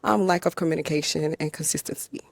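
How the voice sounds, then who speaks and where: A woman in her thirties, from Liberia, answers a dating show contestant in short, hesitant replies. Her voice is low and gravelly. The sound is dry and close. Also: quick pace, no room sound. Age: 30s. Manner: brief, soft-spoken answers with hesitations.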